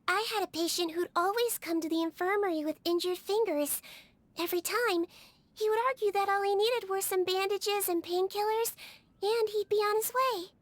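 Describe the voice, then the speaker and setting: A woman voicing a small, cheerful, caring melusine nurse girl in a video game, with a sweet, polite and gently quirky manner. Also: very high voice, no echo. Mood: warm, earnest. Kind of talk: animated video game character voice acting.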